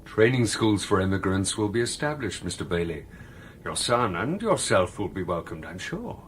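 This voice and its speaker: A man's voice: low-pitched, big pitch swings, normal speed, fairly bright tone, a little hiss or hum. An actor playing a courteous, assured spaceman official in a radio drama, formal and urbane.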